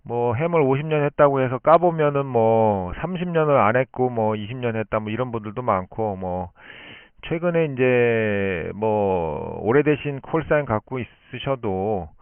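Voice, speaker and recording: Male ham operator, polite explainer, smooth clear voice through a thin, phone-like shortwave signal. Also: mid-range voice, animated, normal speed, no echo. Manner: explanatory, polite formal speech. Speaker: amateur radio operator. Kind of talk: explanatory radio contact talk. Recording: muffled narrowband shortwave radio transmission. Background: shortwave radio link.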